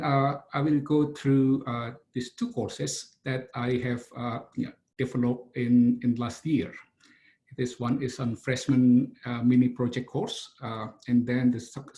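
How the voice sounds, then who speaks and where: A middle-aged man from Indonesia, giving a webinar lecture over a video call, halting and full of "uh" fillers and restarts. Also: medium pitch, mellow. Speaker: engineering professor.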